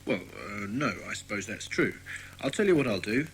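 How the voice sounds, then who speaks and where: An actor playing a friendly, obliging British police inspector in a children's audio drama, courteous and explanatory. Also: male voice, on the low side, sing-song, moderate pace, poor audio.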